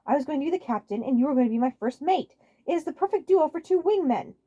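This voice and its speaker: A woman's voice: high-pitched, animated, fast, dark tone, highs cut off, voice pushed up. A woman voicing a grumpy, sarcastic, embarrassed cartoon man with an exasperated, grumbling manner, in a fan fiction story. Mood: annoyed.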